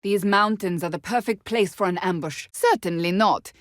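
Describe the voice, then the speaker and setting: A woman's voice: mid-range voice, moderate pace, no echo, studio quality, natural voice. An actress voicing a stern, proud, devout warrior woman in a fantasy video game, with formal, blunt, earnest diction. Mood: grave, measured. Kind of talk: video game character dialogue.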